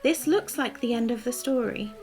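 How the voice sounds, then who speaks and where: A woman narrating a children's storybook in a soft, gentle, calm way, as scripted bedtime reading. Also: on the high side, moderate pace, soft background music.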